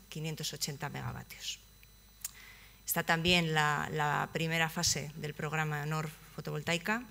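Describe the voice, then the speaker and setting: A young woman giving a conference presentation, pausing often with fillers and restarting phrases, seated at a table in a conference hall. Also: deep voice, gravelly, a bit bright, a little hiss or hum. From Basque Country, Spain. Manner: hesitant, many fillers and restarts. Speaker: project manager presenting at a conference.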